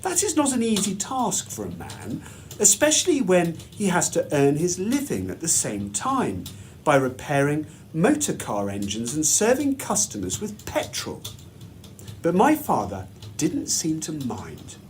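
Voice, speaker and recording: Very animated man reading a bedtime story. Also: on the high side, slight background noise. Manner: measured, deliberate, storytelling delivery. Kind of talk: expressive children's storybook narration.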